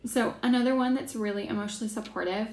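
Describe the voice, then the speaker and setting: Young woman, class host: chatty, enthusiastic, filler-heavy, slight vocal fry, roomy echo. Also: fairly high pitch, quick pace, a little mellow. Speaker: essential oils class host. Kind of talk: casual teaching class. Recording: echoey room, faint background hiss.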